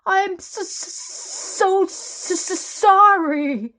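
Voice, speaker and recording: A kindly, flustered little storybook man , as a woman puts him on, speaks far up in pitch. He stammers nervously and haltingly, timid in every word. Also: fairly bright tone, full character voice.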